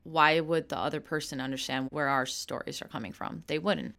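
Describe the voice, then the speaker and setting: Low-voiced woman in her thirties. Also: brisk, gravelly, fairly bright tone. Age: 30s. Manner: frank and open, laughs easily. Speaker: actor and sketch comedian. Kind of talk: candid interview answers.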